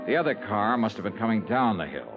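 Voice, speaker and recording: An actor playing a worldly, hard-boiled investigator in a 1960s radio drama, wry and matter-of-fact. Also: male voice, lowish voice, animated, quick pace. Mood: grim, matter-of-fact. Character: worldly, hard-boiled male insurance investigator telling his case. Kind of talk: 1960s radio detective narration and dialogue. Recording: muffled old radio broadcast, music behind.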